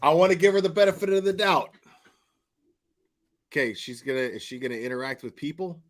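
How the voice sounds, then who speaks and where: A man's voice: a little high, fairly quick. A man giving casual live commentary in a slangy, profane, mocking manner, as the host of a reaction stream.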